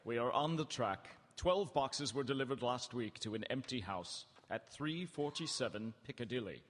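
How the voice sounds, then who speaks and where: An actor playing an earnest, watchful gentleman on a vampire hunt in a live radio drama. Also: medium pitch, animated, moderate pace, slight background noise, lightly acted. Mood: purposeful. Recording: echoey hall, distant, long reverb.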